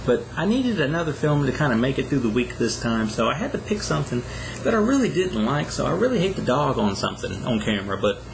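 A man's voice: medium pitch, big pitch swings, fast, gravelly, background noise. A man in his thirties giving a casual, rambling, exasperated video review, talking conversationally into a camera indoors. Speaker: film reviewer. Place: small plain room indoors.

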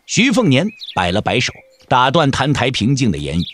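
A man's voice: medium pitch, wildly swooping pitch, moderate pace, a bit bright, a little hiss or hum. A man narrating a martial-arts audio drama in a dramatic scripted style.

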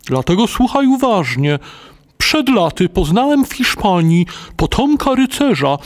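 Elderly noble knight, audio drama. Dignified, ceremonious, high-pitched for a man, richly melodic. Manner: measured, ceremonious storytelling. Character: grateful elderly Spanish knight, dignified and solemn. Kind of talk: dramatic audio play acting.

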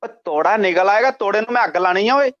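A man's voice: high voice, brisk, heavy background noise. A man in his forties scolding indignantly in an argument over the phone. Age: 40s. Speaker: prank call target. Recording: dull radio-like phone line.

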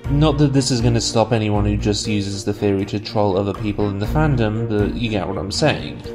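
A man's voice: fairly low pitch, brisk. Man narrating analytically over background music. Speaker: video essayist, host. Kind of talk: scripted explanatory narration.

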